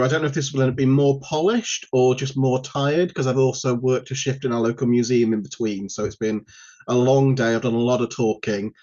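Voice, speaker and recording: A man's voice: medium pitch, darkish tone. Male lecturer, dull online-call audio. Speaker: university lecturer, guest speaker. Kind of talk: informal academic online talk.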